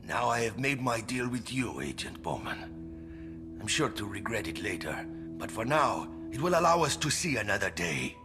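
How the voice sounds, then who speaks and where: An actor playing a weary, proud old Bolivian patriarch with a Latin American Spanish accent in a game cutscene, grave and deliberate. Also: male voice, on the low side, big pitch swings. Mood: weary, resolute. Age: older. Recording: dramatic music behind.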